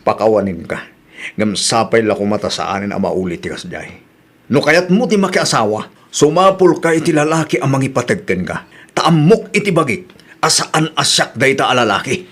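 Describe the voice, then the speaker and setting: A patient widowed man, voiced by a man, talks in a calm, earnest, gently firm way in an Ilocano audio drama. His voice is mid-pitched. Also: normal speed, husky.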